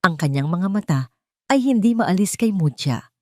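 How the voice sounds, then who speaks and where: A woman's voice: fairly low pitch, animated, moderate pace, no room sound, studio quality. A woman reading a scripted story as a dramatic storyteller. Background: soft music.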